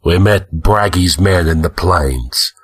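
A man's voice: fairly low pitch, steady tone, a little slow, fairly bright tone. A man voicing a grim, haunted barbarian warrior with a hazy, dazed delivery in a fantasy audiobook.

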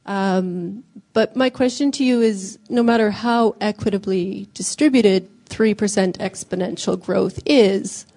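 A woman in the audience asking an articulate, measured question at a lecture. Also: mid-range voice, mellow.

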